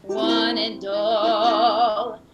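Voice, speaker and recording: A woman's voice: a little high, flat delivery, drawn-out words, rough recording. A woman playing a chirpy, playful announcer in a parody radio commercial, singsong and rhyming. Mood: perky. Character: chirpy singsong jingle announcer for a pet clinic. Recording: loud music under the voice.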